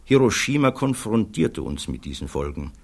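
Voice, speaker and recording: An actor playing a thoughtful physicist giving measured, earnest testimony in a German radio play. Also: male voice, lowish voice, very animated, creaky, full character voice. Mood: grave, troubled. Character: thoughtful male physicist witness, earnest, troubled by conscience.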